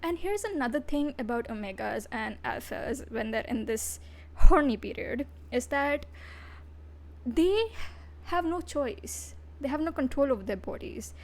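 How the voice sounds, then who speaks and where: Woman VTuber, high voice, casual livestream reviewer, blunt, profane, giggly. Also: expressive, a little slow.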